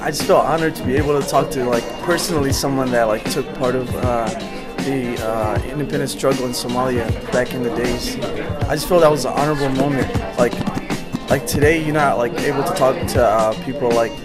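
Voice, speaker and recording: A young man giving halting interview comments, full of "like" and "uh". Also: mid-range voice. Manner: halting, many "like"s. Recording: music and crowd behind. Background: music and crowd.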